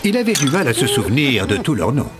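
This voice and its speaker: A man narrating an animated fairy tale as a scripted storyteller. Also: medium pitch, quick pace, music in the background. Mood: storytelling.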